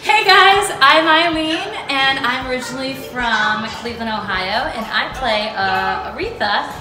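A woman chatting casually backstage, asking castmates quick questions in a bubbly manner. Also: high-pitched, expressive. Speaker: cast member filming and asking questions. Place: backstage dressing rooms on a ship. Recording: echoey backstage rooms with music behind.

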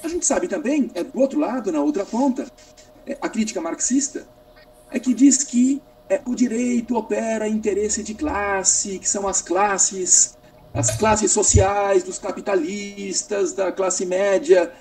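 A middle-aged Brazilian man speaking as an academic in an online discussion, halting and repeating himself as he searches for words. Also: high-pitched, a little mellow, breathy edge. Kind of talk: extended philosophical question in online panel. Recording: distant mic, slightly noisy video call.

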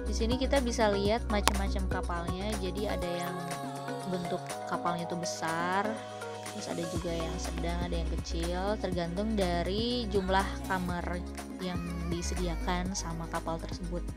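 A woman's voice: fairly low pitch, steady tone, normal speed. A young woman from Indonesia narrating a travel vlog, chatty and upbeat, explaining as she films on a harbor and a boat. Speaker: travel vlogger. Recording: music playing loudly behind.

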